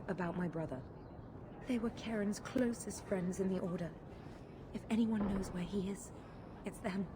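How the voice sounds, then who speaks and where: A woman's voice: medium pitch, background noise, lightly acted. An actress playing a worried, devout townswoman in a video game, speaking in a hesitant, formal, old-fashioned way. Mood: hopeful. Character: anxious devout townswoman pleading for her missing brother. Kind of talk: video game dialogue acting.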